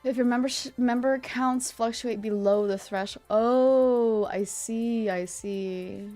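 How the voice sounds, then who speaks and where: Unhurried, bubbly woman livestreamer. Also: fairly high pitch, fairly even intonation, darkish tone, soft background music. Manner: bubbly, chatty, greets viewers by name. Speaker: livestreamer, virtual streamer host. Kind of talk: casual livestream chat with viewers.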